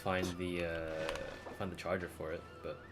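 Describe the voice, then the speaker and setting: A man's voice: sits low, flat delivery, moderate pace. A man chatting casually as he streams a video game. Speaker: live streamer. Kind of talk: casual gaming stream chat. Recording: game music behind voice. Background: video game music and dialogue.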